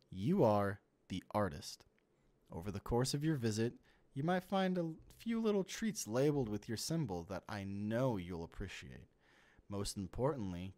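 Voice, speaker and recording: A man playing an inhuman host who poses as a trusted friend in a comic dub, speaking in a measured, reassuring way. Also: lowish voice, darkish tone, lightly acted. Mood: friendly, polite.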